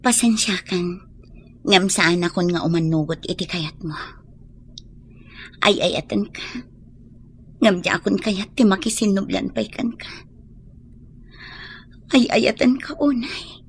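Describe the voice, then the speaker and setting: An actress playing a heartbroken young woman in an Ilocano radio drama, loving but firm, with a breathy, sighing, emotional delivery. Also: medium pitch, slow, pauses often, a little hiss or hum. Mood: sorrowful, firm.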